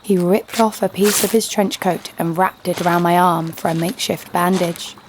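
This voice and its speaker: A woman's voice: fairly low pitch, little pitch movement, normal speed, background noise, lightly acted. A woman playing a fearless, sardonic bounty hunter who tells her own story with dry, snarky delivery in an audio drama. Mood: wry narrating. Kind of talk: scripted first-person audio drama narration. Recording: music under the voice.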